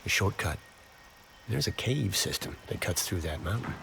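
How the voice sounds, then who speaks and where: An actor playing a roguish older American adventurer in a video game, with a folksy, teasing manner and wry charm. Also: male voice, low voice, animated, moderate pace, creaky, noisy background. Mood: persuasive, wry. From United States. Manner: folksy, teasing, drawling wisecracks. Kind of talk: video game cutscene acting.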